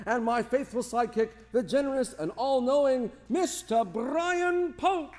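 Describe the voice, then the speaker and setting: Theatrical high-pitched man hosting a show. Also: measured pace, darkish tone. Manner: grandiose, playful, formal introductions. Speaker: host of a live radio drama show.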